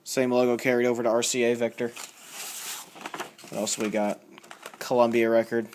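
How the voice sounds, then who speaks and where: A man talks in a medium-pitched voice, casually and without a script. He rambles a little, thinking aloud and reading labels out as a collector showing off finds would. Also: level tone, normal speed, a little mellow, slight background noise. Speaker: record collector showing a haul. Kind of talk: casual unscripted show-and-tell commentary.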